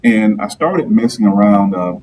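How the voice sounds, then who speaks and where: A man with a deep, low baritone tells his story easily and enunciates with an announcer's care. He speaks over a remote video call, a little distant from his mic, with a faint hiss of room sound behind him. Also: expressive, normal speed, mellow. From Georgia, US. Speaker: guest, live streamer and football PA announcer. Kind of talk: casual interview chat.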